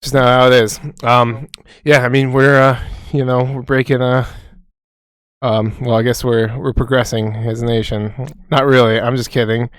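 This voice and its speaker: A man's voice: mid-range voice, little pitch movement, moderate pace, fairly bright tone, clear, resonant tone, dry recording. Young male co-host, loose and profane, medium voice, dry close sound. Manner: rambling, swears constantly. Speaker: podcast co-host. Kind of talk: casual chat.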